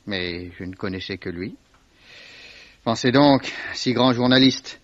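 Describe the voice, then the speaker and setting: An actor playing a worldly, evasive Swiss salesman in a 1950s French radio mystery, sardonic, unhurried and given to repeating himself. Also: mid-range voice, no room sound, lightly acted. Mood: dismissive, amused. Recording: dull, dry vintage radio recording.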